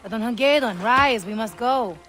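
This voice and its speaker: A woman's voice: on the high side, moderate pace, fairly bright tone, lightly acted. Solemn, resolute mother played by an actress, over music. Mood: urgent. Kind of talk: dramatic video game acting.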